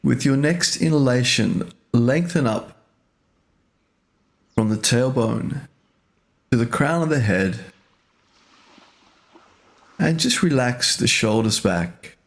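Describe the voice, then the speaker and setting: A man leading a guided meditation as a teacher, speaking gently and unhurriedly in short phrases with pauses. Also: mid-range voice, lively intonation.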